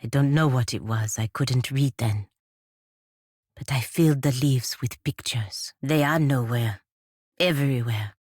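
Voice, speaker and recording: A low female voice gives a proud, honor-bound fantasy game warrior her weight. She speaks formally and sternly in clipped phrases, with an embittered edge. The sound is very dry, free of room echo. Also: unhurried, a little mellow, slightly rough, exaggerated voice. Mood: quiet, reflective. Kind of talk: fantasy video game character dialogue.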